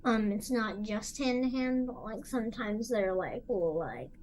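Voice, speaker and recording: Hesitant higher-voiced woman at a game table. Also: animated, unhurried, darkish tone. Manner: hesitant, searching for words. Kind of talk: casual chat.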